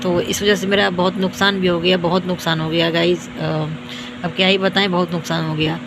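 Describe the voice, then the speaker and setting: Hoarse young woman ranting, flat voice. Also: fairly low pitch, fairly quick, noisy background. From India. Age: young adult. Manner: repetitive, boastful, scolding. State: tired. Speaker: vlogger. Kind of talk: self-filmed rant to viewers.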